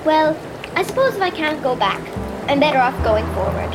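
Very high-voiced plucky Irish girl. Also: sing-song, moderate pace, music in the background, rough recording. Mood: breathless, determined. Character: adventurous, plucky young girl in a fairy tale. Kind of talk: audio drama acting.

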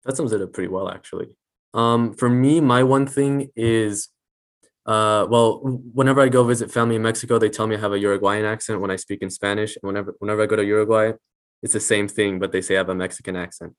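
Hesitant low-voiced teen boy. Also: fairly even intonation, quick pace. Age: teens. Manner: hesitant, stuttering restarts. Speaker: student panelist. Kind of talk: casual discussion.

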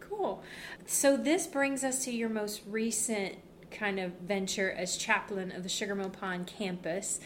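A woman's voice: mid-range voice, unhurried, slight background noise. A woman interviewing a guest in a friendly, encouraging way. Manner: encouraging, affirming listener. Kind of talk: casual interview conversation.

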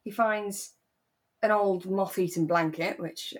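Woman in relaxed conversation. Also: mid-range voice, slow pace. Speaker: podcast co-host. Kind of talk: casual chat about a radio drama.

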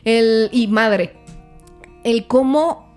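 A young woman, hosting a podcast in a lively, colloquial way and laughing often. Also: on the high side, drawn-out words, faint music behind. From Parral, Chihuahua, Mexico. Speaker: podcast host. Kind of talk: casual chat.

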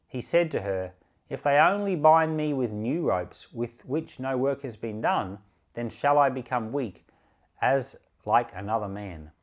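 A man reading scripture aloud for a podcast in a measured, deliberate, expressive storytelling manner. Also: medium pitch. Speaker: pastor and Bible reader.

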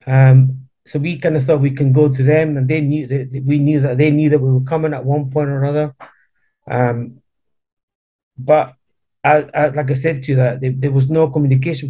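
A man in his fifties, born in Chile, telling his family's story as a guest in a casual interview, halting and often repeating words. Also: medium pitch, muffled recording.

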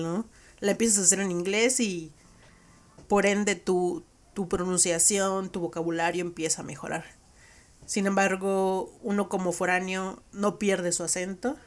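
A woman with a Mexican accent hosting a podcast, recapping a conversation in a reflective, conversational way. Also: lowish voice. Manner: reflective, conversational, frequent "¿no?" tags. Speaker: podcast host.

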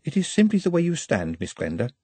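A man's voice: fairly high pitch, huge pitch swings, fast talker, warm tone, slightly rough, dry recording, voice pushed up, exaggerated voice. A man voicing an earnest, learned male servant in an audiobook, nervous and deferential, with precise, formal speech. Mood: earnest, apologetic.

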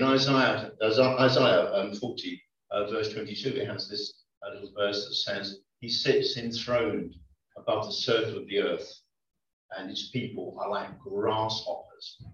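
A man preaching a conversational sermon in a church, often pausing with ums. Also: lowish voice, a bit bright. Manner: conversational, hesitant with many ums. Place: church hall. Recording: echoey lo-fi church recording from far off.

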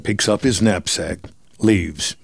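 A man's voice: sits low, slow pace, creaky, no echo. A man reading scripted screenplay narration as the narrator of a drama. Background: music.

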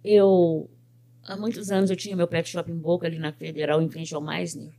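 An older woman from Brazil, a pet groomer and business owner, telling her life story in an interview with lively quoted speech. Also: on the low side, normal speed. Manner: animated storyteller who quotes other people's words.

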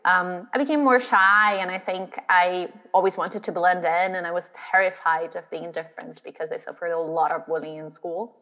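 A woman's voice: lowish voice, fairly even intonation, moderate pace, hardly any pauses, sharp tone, big-room sound, low-quality recording. A woman from Brazil, a drag artist and guest, telling her story in a chatty interview, laughing now and then. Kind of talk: interview chat.

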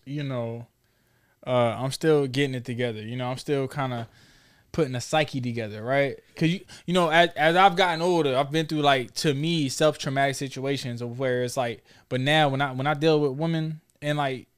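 A man's voice: medium pitch, steady tone, normal speed, smooth. A man in his thirties hosting a casual conversation, talking in an informal, slangy, probing way. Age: 30s. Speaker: talk show host.

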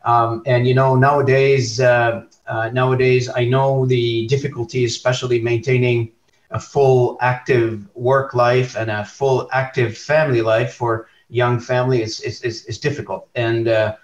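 A man's voice: medium pitch, level tone, measured pace. A man hosts a podcast interview, talking hesitantly with many fillers and restarts. His voice sounds distant and dull, recorded in a roomy space over a video call. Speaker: podcast host.

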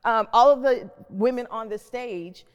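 A woman's voice: on the high side, a little slow, smooth. A middle-aged woman hosting and moderating a panel discussion. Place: stage in a reverberant hall. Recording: echoey hall with long reverb.

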